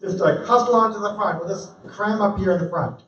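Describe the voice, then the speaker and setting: High-voiced upbeat man emceeing. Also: fairly bright tone. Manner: upbeat, brisk, joking. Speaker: meetup emcee. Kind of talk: live event hosting. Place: meetup room indoors. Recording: echoey room, distant dull mic, faint hiss.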